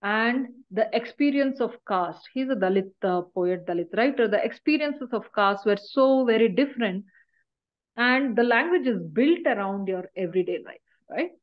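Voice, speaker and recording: A woman's voice: on the high side, animated, moderate pace, highs cut off. A middle-aged woman giving a university lecture over a video call, explaining points and often checking in with "right?". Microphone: laptop webcam on a video call.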